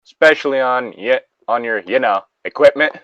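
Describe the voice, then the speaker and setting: A man's voice: medium pitch, moderate pace, fairly bright tone, no room sound. Laconic man, slightly dull dry recording. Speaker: co-host reading a story aloud. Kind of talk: casual banter and story reading.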